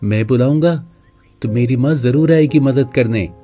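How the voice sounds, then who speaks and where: A man voicing a trusting, plaintive young orphaned calf in an animated moral story. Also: mid-range voice, moderate pace, natural voice. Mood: earnest, resolved. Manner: earnest, plaintive.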